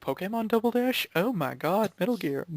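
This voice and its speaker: A man's voice: high voice, animated, normal speed, very noisy. A young man in a casual group chat, bantering in a geeky, exclamatory way as he reacts to videos. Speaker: member of an online gaming video group.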